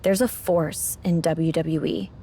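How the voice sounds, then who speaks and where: A woman playing a seasoned wrestling champion in a video game, speaking gravely and cautioning like a keeper of dangerous secrets. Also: low-pitched, fairly even intonation, slow, mellow, slight background noise. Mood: grave warning. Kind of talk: cinematic game dialogue.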